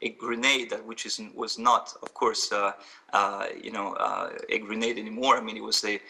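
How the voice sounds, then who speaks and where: Bright-voiced man, distant mic. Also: lowish voice, animated, vocal fry, slightly rough. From France. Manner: earnest, fast, with many fillers. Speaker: guest. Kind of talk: storytelling interview answers.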